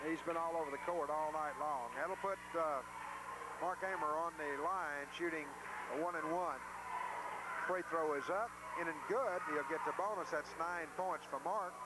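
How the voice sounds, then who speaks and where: High-pitched man calling basketball, very noisy. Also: normal speed. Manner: clipped short phrases, folksy. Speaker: radio play-by-play sports announcer. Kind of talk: live basketball play-by-play commentary. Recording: noisy old VHS tape of local radio broadcast.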